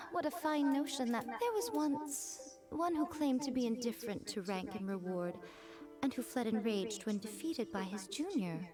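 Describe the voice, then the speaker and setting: A woman's voice: a little high, over music, close to an everyday voice. A woman voicing a poised, mysterious noble shrine priestess in an anime game, speaking formally with wry amusement. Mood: wryly amused. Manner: formal, measured, wryly amused. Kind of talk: anime video game voice acting.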